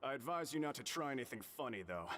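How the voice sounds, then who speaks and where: Matter-of-fact, mid-pitched prison guard. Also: male voice, sing-song, quick pace, a bit bright. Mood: cautioning. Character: matter-of-fact prison guard, mildly stern, male adult. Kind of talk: video game dialogue.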